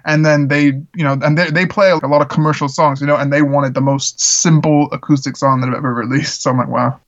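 A man's voice: fairly high pitch, fairly even intonation, fairly quick, fairly bright tone, muffled recording. A man who grew up in the Czech Republic, a musician guest talking earnestly in a casual interview, with lots of fillers. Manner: earnest, rambling with frequent fillers.

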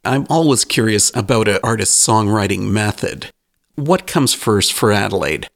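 Gravelly male interviewer. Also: lowish voice, lively intonation, moderate pace. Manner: direct, playful questions. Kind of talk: interview questions.

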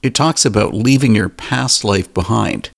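A man interviewing a musician, asking measured, prepared questions. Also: lowish voice, vocal fry.

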